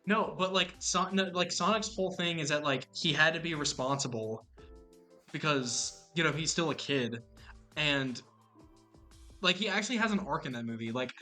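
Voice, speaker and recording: Young male co-host, bright and slightly high voice, passionate and rambling, faint music. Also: moderate pace. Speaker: podcast co-host. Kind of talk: casual chat.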